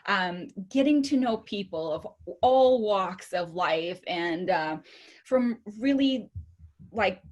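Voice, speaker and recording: A woman with a Bulgarian accent speaks as a guest in an interview. Her voice sits at a middle pitch, and she tells personal stories in a chatty, outgoing, conversational way. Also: animated, slow pace, a little mellow, dull audio. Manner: chatty, outgoing, often laughing.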